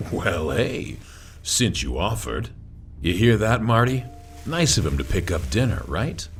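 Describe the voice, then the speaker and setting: A huge wolf thug, acted by a man in an audio drama, with a very low voice. He drawls slowly and menacingly like he owns the territory, yet his pitch swings expressively. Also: big pitch swings, faint music behind. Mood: smug. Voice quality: deep, low voice. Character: big intimidating territorial wolf, gang tough guy. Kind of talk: audio drama character acting.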